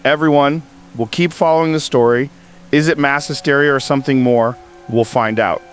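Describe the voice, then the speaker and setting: A man's voice: mid-range voice, steady tone, moderate pace, natural voice. Actor as probing male interviewer over music. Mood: thoughtful. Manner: probing, incredulous. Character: curious, skeptical male news show interviewer. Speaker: host interviewer. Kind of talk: radio drama news interview. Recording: music playing under the voice. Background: background music.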